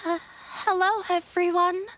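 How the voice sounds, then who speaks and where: A woman playing a shy, nervous young novice dancer in a video game, hesitant and halting. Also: extremely high pitch, level tone, a bit bright, low-quality recording. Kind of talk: video game character dialogue.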